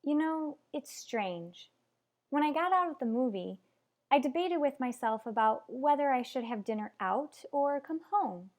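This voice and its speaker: A woman's voice: high-pitched, animated, measured pace, darkish tone. A woman playing an anxious, clinging mother in an old-fashioned radio soap opera, speaking in an earnest, emotional way.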